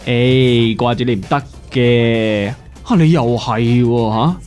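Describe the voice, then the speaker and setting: Casting: man to play chatty, playful pig daddy, Hong Kong Cantonese, children's radio drama, soft music underneath. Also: mid-range voice, slow, lightly acted. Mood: affectionate. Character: playful talkative pig dad and husband.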